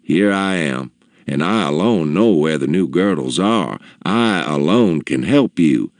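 A man's voice: rumbling low, lively intonation, unhurried, no echo, clean studio sound, full character voice. A man voicing a polite, confident male store clerk in a story, courteous and composed. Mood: composed, obliging. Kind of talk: storybook character voice in narration.

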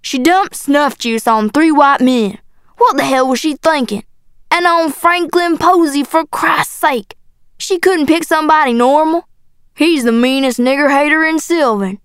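A woman voicing a bitter, bullying middle-aged Southern father in an audiobook, gruff and profane in manner. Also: fairly high pitch, steady tone, moderate pace, a bit bright, dry recording, studio quality, close to an everyday voice. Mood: angry, scornful. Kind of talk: audiobook character acting.

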